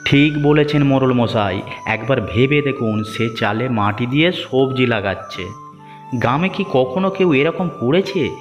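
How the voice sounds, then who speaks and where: A man playing a village headman's henchman in a cartoon story, a flatterer with a mocking, scolding manner. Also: mid-range voice, close to an everyday voice. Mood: fawning agreement. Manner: sneering, scolding, obsequious to his boss. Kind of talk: cartoon moral-story voice acting. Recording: muffled, echoing hall-like reverb, music behind.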